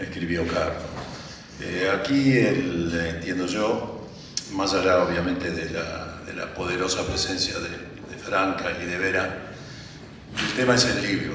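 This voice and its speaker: A man's voice: sits low, background noise. A man giving a rambling public speech at a book presentation, hesitant, with many fillers and restarts. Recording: echoey hall, from far off.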